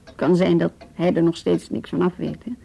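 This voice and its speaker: An actress playing a calm, practical policewoman in a radio drama, talking in a measured, reassuring way. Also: lowish voice, creaky, breathy edge, heavily acted. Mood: calm, probing. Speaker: actor in a radio play. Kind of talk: naturalistic radio drama dialogue.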